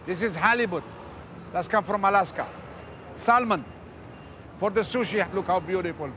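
High-voiced man, blunt and clipped. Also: normal speed, noisy background. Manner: blunt, short clipped phrases. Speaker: ship's provisions manager. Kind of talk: on-the-job explanation and instructions. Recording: location sound with music underneath. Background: music.

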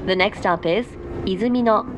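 A woman reading formal, scripted announcements on board a train in a measured delivery. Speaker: English-language train announcer. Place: inside a moving train carriage. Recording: train PA amid loud carriage noise. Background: train rumble.

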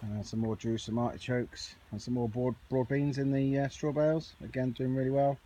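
Man, mid-pitched voice, casual gardening vlog commentary, chatty and informal, outdoor handheld recording with clear background noise. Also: flat delivery, fairly quick, dark tone. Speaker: amateur gardening vlogger. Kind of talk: casual off-the-cuff video tour commentary. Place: outdoors on an allotment. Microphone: handheld camera or phone.

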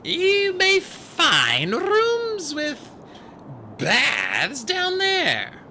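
A cold, regal goddess of magic, as a man puts her on in an audiobook, speaking with slow, aloof authority. Her voice sits high and climbs and falls widely. It comes across bright and clear. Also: wildly swooping pitch, slight background noise, full character voice. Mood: haughty. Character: haughty ancient goddess of magic, female.

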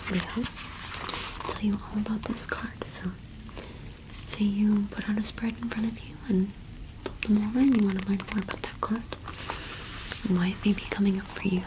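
A young woman playing a kind, welcoming cottage witch in a whispered ASMR roleplay, speaking softly and reassuringly without hurry. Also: mid-range voice, fairly even intonation, background noise, close to an everyday voice. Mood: gentle, explanatory. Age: young adult. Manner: soft, unhurried, gently reassuring. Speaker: ASMR performer.

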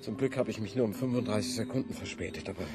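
An actor playing a pedantic, prim, earnest bureaucrat devoted to rules, precise and long-winded in a radio play. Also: male voice, lowish voice, racing, loud music behind the voice, lightly acted. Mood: flustered. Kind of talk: German radio play acting.

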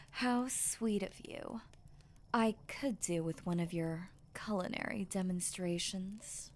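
Woman acting a slow, mellow, whispery werewolf girlfriend. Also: mid-range voice, slight background noise, close to an everyday voice. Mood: amused, fond. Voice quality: soft, breathy, often dropping to a whisper.

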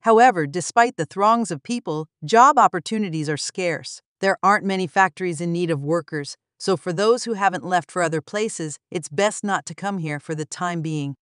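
A woman delivering scripted documentary narration in an even, explanatory newsreader manner, recorded close as a voice-over. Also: lowish voice, moderate pace, hardly any pauses, smooth, no echo. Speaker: narrator of a news channel.

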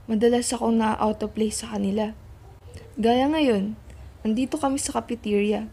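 Woman as quiet teenage girl narrator, high voice. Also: steady tone, normal speed, breathy edge. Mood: reflective. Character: quiet, observant teenage girl narrating her friends' story. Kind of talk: scripted radio drama narration. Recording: music under the voice. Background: background music.

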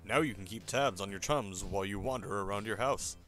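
Man as adventure game narrator, low pitch, dry, wry, instructive, scripted, music behind. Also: fairly quick, fairly bright tone, lightly acted. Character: wry second-person narrator issuing adventure game commands.

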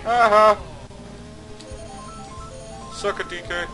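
Male let's-play host, stuffy from a cold, crisp bright tone, casual and foul-mouthed, prone to shouting. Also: high-pitched, very slow, soft background music, low-quality recording. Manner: excitable, swears a lot, loud outbursts. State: a little sick, slightly nasal. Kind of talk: casual gameplay commentary.

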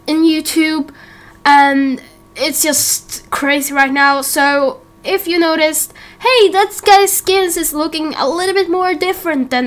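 A woman's voice: sits high, a bit bright. A young woman in her late teens giving a casual, rambling update to viewers as a series host, in a giggly, self-interrupting way. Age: late teens, young adult. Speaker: YouTube roleplay series creator and host.

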